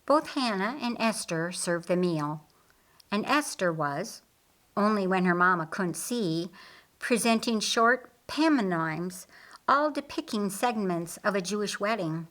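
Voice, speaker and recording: A woman narrating an audiobook story with dialogue in an expressive storytelling manner. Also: medium pitch, measured pace.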